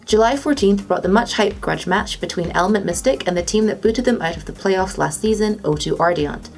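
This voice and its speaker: A woman's voice: mid-range voice, a little fast, darkish tone, faint music behind. A woman presenter reading scripted gaming and esports news.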